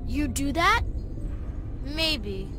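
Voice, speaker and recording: A woman's voice: sits high, lively intonation, extremely slow, music in the background, full character voice. A woman playing a bossy, suspicious, streetwise young boy in a video game. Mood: suspicious, scornful. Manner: defiant, streetwise.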